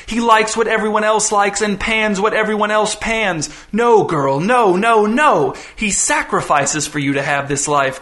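A man's voice: on the high side, bright, forceful tone. A man voicing a gleeful, cruel male tormentor in an audiobook, grandiose and scornful in a theatrical, declamatory way. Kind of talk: audiobook character voice.

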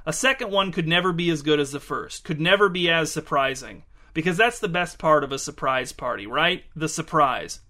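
A man's voice: fairly high pitch, expressive, moderate pace, few pauses, sharp tone. A man voicing an exasperated, commanding woman in a story, scolding party guests in emphatic, insistent phrases. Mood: wounded, exasperated. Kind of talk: storybook character acting.

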